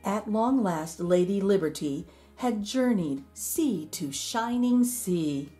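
A woman reading a rhyming children's picture book aloud in an expressive, measured storytelling manner. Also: medium pitch, faint music behind. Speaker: storybook reader.

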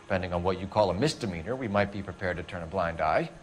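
An American actor playing an earnest, stern young police detective in a video game, with a clipped, interrogating manner. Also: male voice, low voice, lively intonation, fast talker, faint music behind. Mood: businesslike. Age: young adult.

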